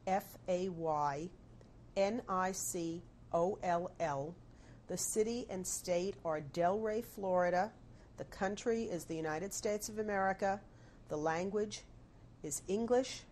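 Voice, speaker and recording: A woman's voice: on the low side, a little mellow, a little hiss or hum. A woman interviewing an older survivor, who formally reads out names, dates and places. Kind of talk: oral history interview.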